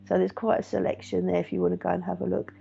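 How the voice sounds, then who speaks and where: Gentle gravelly woman, lo-fi stream. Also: lowish voice, fast talker. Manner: gentle, chatty, reassuring, with frequent fillers. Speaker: meditation teacher and psychic medium. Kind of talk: casual live-stream chat reading viewer comments. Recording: dull lo-fi stream audio.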